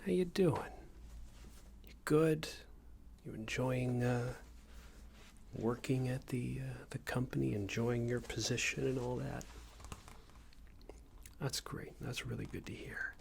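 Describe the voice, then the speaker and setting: A man playing a smarmy, passive-aggressive company boss in a soft-spoken ASMR roleplay, hesitant and condescending, often half-whispered. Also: mid-range voice, takes it slowly, pauses often, a little hiss or hum. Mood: falsely friendly. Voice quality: breathy, half-whispered, creaky. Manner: hesitant, hedging, condescending, rambling corporate small talk.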